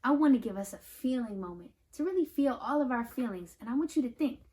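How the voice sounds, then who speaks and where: A woman's voice: a little high, expressive, fast, warm tone, breathy edge. An American woman hosting a children's read-aloud, speaking directly and reassuringly to young listeners and repeating phrases expressively for emphasis. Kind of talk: picture book read-aloud with talk to children.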